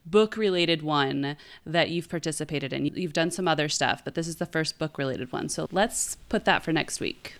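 Low, smooth-voiced woman chatting casually. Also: normal speed, hardly any pauses. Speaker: podcast co-host. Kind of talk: casual podcast chat.

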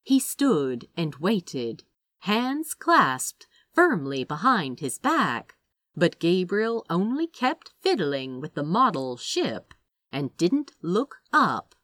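A woman reading a story aloud as expressive scripted narration. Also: lowish voice, slow, no room sound, studio quality. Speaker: audiobook-style reader of fan fiction.

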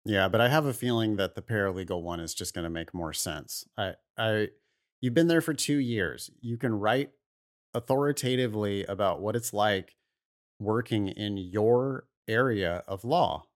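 A man's voice: on the low side, moderate pace. A man co-hosting an advice podcast, talking casually and giving blunt, opinionated takes. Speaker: podcast host.

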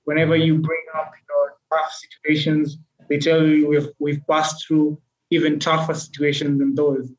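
A young man tells his own story in an earnest, measured way. His voice sits a little high and stays flat, with little rise and fall. The recording is echoey and dull, like a roomy lo-fi mic. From Kenya. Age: 20s. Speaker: podcast guest. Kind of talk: personal storytelling in an interview.

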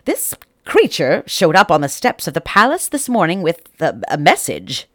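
A woman's voice: fairly low pitch, sing-song, normal speed, dry recording, studio quality. A woman voicing a deferential, hesitant palace servant.

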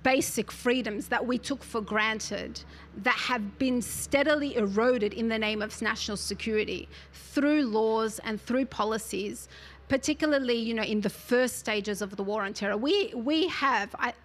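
A woman, speaking as an interview guest who talks about her book in an articulate, earnest way and often fills pauses with "you know". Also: fairly high pitch. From Australia. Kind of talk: conversational interview.

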